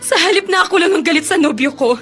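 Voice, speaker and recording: An actress playing a heartbroken, hot-tempered young university student in an emotional Filipino radio drama. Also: female voice, very high voice, expressive, very fast, a bit bright, soft background music. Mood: tearful, upset. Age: young adult. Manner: emotional, melodramatic.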